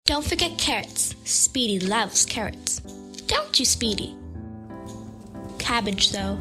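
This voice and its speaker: A woman's voice: on the high side, expressive, takes it slowly, over music. A woman voicing a chatty, scatterbrained little schoolgirl in a motion comic, with a childlike, insistent chatter. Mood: chirpy, chatty.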